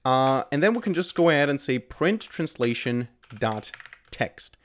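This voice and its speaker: A man's voice: mid-range voice, lively intonation, unhurried, a little hiss or hum. A man in his twenties, a native German speaker, explaining code as a tutorial host into a close desk microphone. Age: 20s. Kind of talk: explanatory screencast narration. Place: small indoor room at a desk. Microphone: desk microphone close in front. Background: keyboard typing.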